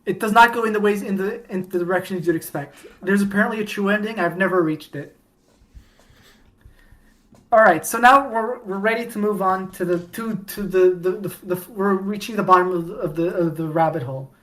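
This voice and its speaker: A woman chatting as a podcast guest, rambling with many restarts and sudden bursts of excitement. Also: medium pitch, a little fast. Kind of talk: casual podcast chat.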